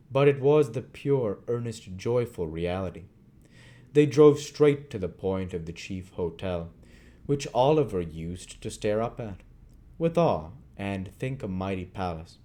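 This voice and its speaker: A man reading a classic novel aloud as narrator, in deliberate phrases with dramatic emphasis. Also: low voice, dark tone. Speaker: audiobook reader.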